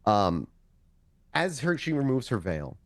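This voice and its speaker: A man working as game master, improvising descriptive narration in a conversational way, often pausing for drama and correcting himself mid-sentence. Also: medium pitch, sing-song, no room sound. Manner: halting, self-correcting, dramatic pauses. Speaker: storyteller, game master of a tabletop roleplay show.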